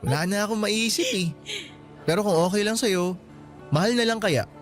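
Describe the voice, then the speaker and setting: A teasing, affectionate young student boyfriend in a radio play, voiced by a man with a fairly high voice. He jokes easily but speaks frankly when he gets serious, with soft music in the background. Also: normal speed, lightly acted. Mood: playful. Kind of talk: radio drama acting.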